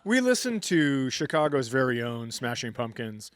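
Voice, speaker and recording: Male American comic co-host, forties, medium pitch, casual banter with sweary joking asides. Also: very animated, moderate pace. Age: 40s. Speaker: comedy podcast co-host. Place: live at a comedy club.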